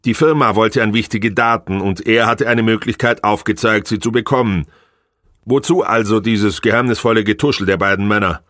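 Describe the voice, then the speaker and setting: A man's voice: on the low side, moderate pace, fairly bright tone, dry recording, studio quality. A man reading a novel aloud as scripted audiobook narration.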